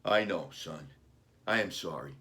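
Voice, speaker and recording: A man reading a children's storybook, playing an earnest, gentle father talking about his young son's wishes. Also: sits low, unhurried, heavily acted. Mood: regretful.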